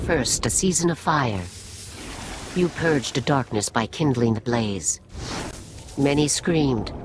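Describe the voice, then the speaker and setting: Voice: medium pitch, noisy background, lightly acted. Alien mystic healer, acted: grave, serene, ritual phrasing. Video game, audible ambience behind. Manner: slow-phrased, ceremonial, cryptic. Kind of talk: video game dialogue acting.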